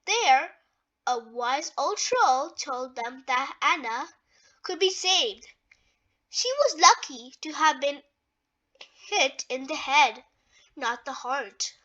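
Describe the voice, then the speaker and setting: A woman reading a children's story aloud in a deliberate, expressive way with emphatic phrasing. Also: sits high, highs cut off.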